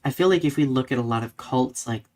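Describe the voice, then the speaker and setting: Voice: mid-range voice, quick pace, darkish tone. A podcast co-host talking in a casual, conversational way, often dropping in "like" while thinking aloud. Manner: conversational, peppered with "like". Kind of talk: casual discussion, reading out live comments.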